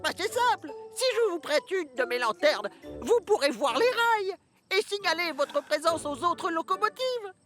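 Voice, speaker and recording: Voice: very high-pitched, expressive, moderate pace, a bit bright. An actor voicing a fussy, cautious male brake van in a children's cartoon, speaking formally and dutifully and easily alarmed. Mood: helpful. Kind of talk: French-dubbed children's cartoon acting. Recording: music bed behind the voice.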